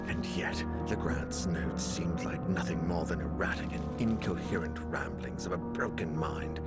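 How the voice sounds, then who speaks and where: A man's voice: low-pitched, lightly acted. An actor playing a weary, haunted man desperate to end a curse, grave and brooding in a horror game. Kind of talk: horror game dialogue and narration. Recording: music loud behind the voice. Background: loud music.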